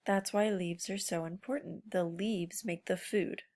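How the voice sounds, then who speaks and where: A woman's voice: fairly low pitch, fairly even intonation, a little slow, darkish tone. A woman teacher giving an informal read-aloud lesson to children, prompting them with guiding questions. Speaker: schoolteacher.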